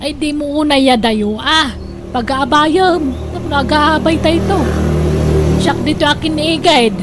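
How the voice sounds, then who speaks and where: An actress plays an insistent older Filipino sister in a comedic radio drama. Her voice sits very high and she pushes her point like the boss of the family. The recording carries noticeable noise behind her. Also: measured pace. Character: bossy older sister planning siblings' graves.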